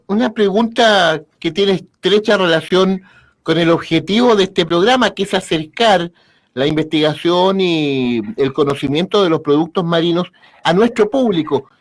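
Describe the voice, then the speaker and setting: A man's voice: high-pitched, normal speed. A man hosting an interview, courteous, often repeating words and stammering as he asks questions. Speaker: radio show host.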